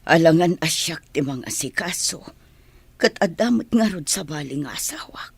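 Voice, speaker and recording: Ailing Ilocano mother, low, very breathy rasp, defensive, dry radio drama sound. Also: animated, moderate pace, dry recording, full character voice.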